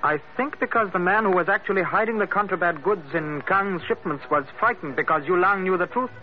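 Guy acting polite young chinese man on old radio drama, highish voice, careful formal english, muffled with faint music. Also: lightly acted. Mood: earnest, grave. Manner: formal, measured, careful diction. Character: courteous, dignified young Chinese man in hiding.

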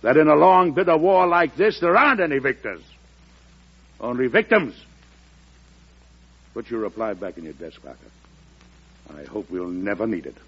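Earnest president, husky, dramatic swings. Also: male voice, medium pitch, expressive, normal speed, long pauses. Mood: earnest, determined. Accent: American. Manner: earnest, emphatic, oratorical. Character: earnest, weary US President pleading for peace. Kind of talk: old-time radio drama acting. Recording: dull, lo-fi vintage radio recording.

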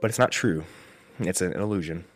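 A man's voice: sits low, fairly quick. A man hosting a solo podcast, getting over a cold, thinking aloud in a rambling, reflective way with many restarts. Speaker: podcast host.

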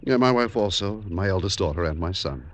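An actor playing a wry, skeptical middle-aged Roman in a stagey 1950s radio drama. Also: male voice, sits low, expressive, a little fast. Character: skeptical ancient Roman family man, wry.

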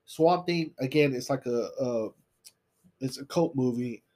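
Guy co-hosting, stuffed up nose from allergies, relaxed, cusses a lot, average pitch. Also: a little slow. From South Texas. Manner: laid-back, swears and laughs often. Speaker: podcast co-host. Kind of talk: casual chat.

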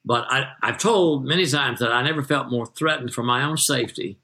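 An older American man from Texas telling detailed anecdotes as an interview guest, in a measured raconteur's manner. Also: mid-range voice, bright, forceful tone. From Texas, United States. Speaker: interview guest, retired magazine journalist.

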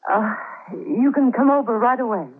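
An actress playing a cool, haughty, mocking young wife in a muffled 1940s radio drama, with a clipped theatrical manner. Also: female voice, a little high, expressive, normal speed, lightly acted. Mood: indignant. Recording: muffled old radio recording.

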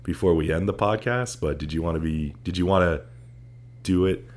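Man, podcast co-host, very deep voice, frequent laughter, casual swearing, chatty. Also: moderate pace. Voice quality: unusually deep voice.

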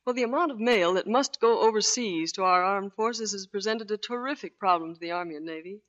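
A woman's voice: medium pitch, brisk, fairly bright tone. A woman Navy officer speaking as a guest in a scripted 1940s radio interview. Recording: dull lo-fi 1940s radio recording.

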